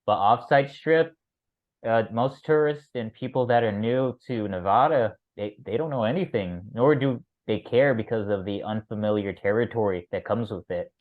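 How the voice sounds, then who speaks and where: A man's voice: on the low side, moderate pace. A man from California hosting a podcast, chatting casually and agreeably with his guest. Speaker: podcast host. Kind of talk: casual interview chat. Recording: tinny phone-like sound.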